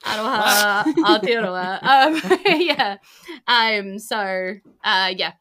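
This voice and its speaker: A woman's voice: medium pitch, expressive, drawn-out words, a bit bright. A woman with a New Zealand accent, chatting casually as a podcast host and game master in a bubbly, rambling, giggly way. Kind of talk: casual unscripted sign-off chat.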